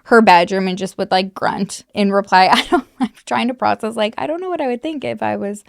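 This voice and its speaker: A woman's voice: medium pitch, fairly quick, vocal fry, no room sound, clean studio sound. A woman recounting a true crime case in a casual, chatty way, full of fillers and the odd swear word. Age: adult mother.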